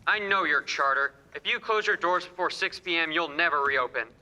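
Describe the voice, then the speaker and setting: Man playing smug banker, piercingly bright high voice. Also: brisk. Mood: gloating. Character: scheming, smug rich banker. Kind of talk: filmed radio play acting. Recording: reverberant theater stage.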